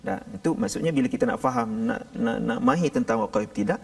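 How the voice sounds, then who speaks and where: A man's voice: mid-range voice, quick pace, vocal fry, far from the mic. Lelaki bersuara garau, cakap laju, nada turun naik, rakaman dari jauh. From Malaysia. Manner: animated, chatty, laughs easily. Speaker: guest religious teacher. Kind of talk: casual studio discussion.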